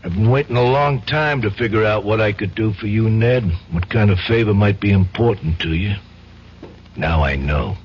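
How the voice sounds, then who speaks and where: An actor with an American accent playing a streetwise, slangy, loyal but menacing pal in an old-time radio drama, casual and wisecracking. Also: male voice, on the low side, moderate pace, close to an everyday voice. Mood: quietly scheming. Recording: dull, faintly noisy old radio recording.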